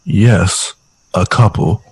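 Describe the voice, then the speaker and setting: A man's voice: lowish voice, drawn-out words, breathy edge, dry recording, full character voice. A man acting a tired, hard-drinking, short-tempered mill worker and father in an audio drama. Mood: low, evasive.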